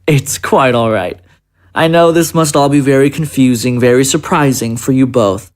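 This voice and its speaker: A man acts out a senior political aide in an audio drama. He is courteous and secretive, speaking in formal, measured phrases at a medium pitch. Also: close to an everyday voice. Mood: courteous, guarded. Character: polite, secretive presidential chief of staff.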